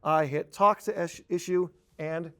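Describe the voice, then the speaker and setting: A man with a slightly high voice leads a software tutorial. He explains things informally, as a trainer walking learners through a screen, and drops in playful asides. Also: fairly even intonation, measured pace, clean tone. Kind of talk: conversational instructional screen-share tutorial.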